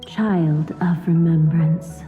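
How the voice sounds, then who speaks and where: An enigmatic, solemn guide in a game, her voice lowish and dark. She delivers hushed ceremonial commands very slowly, wrapped in long reverb. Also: fairly even intonation, lo-fi sound, faint music behind. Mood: solemn, beckoning. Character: solemn, mysterious woman's voice beckoning onward. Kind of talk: scripted game dialogue.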